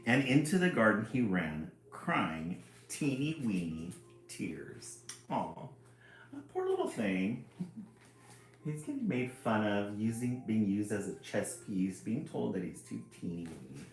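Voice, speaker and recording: A man hosting a casual, expressive storybook read-aloud and adding his own chatty asides. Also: on the low side, slow, faint music behind. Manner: chuckling, expressive. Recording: roomy echo, some background noise.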